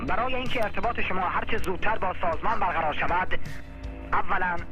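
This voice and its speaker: A man's voice: high-pitched, bright, forceful tone, soft background music. A man with an Iranian Persian accent reading formal messages as a radio announcer.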